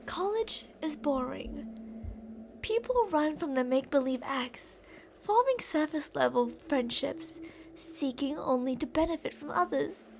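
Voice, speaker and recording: A woman's voice: sits high, lively intonation, a little slow, slight background noise. A woman playing an obsessive, delusional young captor in an intimate, rambling ASMR roleplay monologue addressed to a captive. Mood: numb, bitter.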